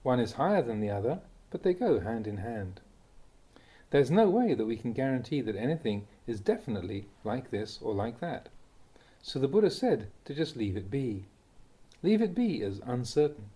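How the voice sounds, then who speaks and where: A man reading a Buddhist teaching aloud in a measured, explanatory manner, like an audiobook of a spiritual talk. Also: mid-range voice, very animated, warm tone. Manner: measured, explanatory, acts out short quoted lines. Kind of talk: read-aloud Dhamma talk, audiobook narration.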